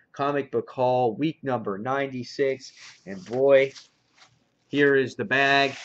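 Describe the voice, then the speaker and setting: A man chatting casually and enthusiastically as the host of a comic book review vlog, in a lo-fi recording. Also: mid-range voice. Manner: enthusiastic fan talk. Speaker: comic book reviewer hosting a haul video. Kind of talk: casual vlog chat, showing and commenting on purchases. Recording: dull lo-fi sound.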